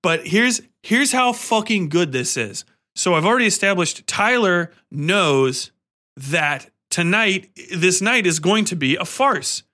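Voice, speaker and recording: A man hosting a podcast, giving casual, rambling film commentary in an excitable, emphatic, sweary way. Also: sits high, measured pace. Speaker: podcast host.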